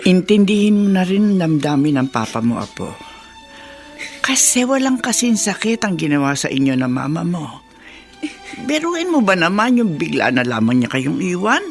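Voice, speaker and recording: A woman's voice: lowish voice, big pitch swings, normal speed, soft background music. An older woman with a Filipino accent playing a consoling but judgmental grandmother in a radio drama, soothing and moralizing as she talks. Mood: reproachful.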